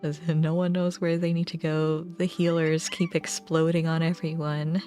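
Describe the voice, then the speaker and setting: A woman's voice: low voice, level tone, moderate pace, mellow, faint music behind. A woman livestreamer chatting casually with viewers in a rambling, self-deprecating way. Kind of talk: casual gaming stream chat.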